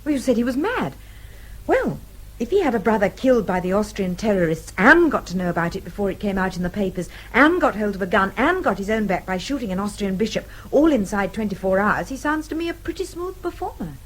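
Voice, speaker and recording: A woman's voice: mid-range voice, very animated, fairly quick, poor audio. An actress playing an insistent young Englishwoman in a British radio drama, speaking emphatically and precisely with an English accent. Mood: firm, defiant. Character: insistent young Englishwoman, eyewitness who will not be doubted.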